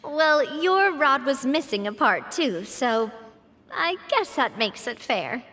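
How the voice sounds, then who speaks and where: A woman playing a warm, gracious young noblewoman in a video game, speaking in a gentle, earnest way. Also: high-pitched, sing-song, slow pace, no echo, faint music behind, exaggerated voice. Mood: light, encouraging.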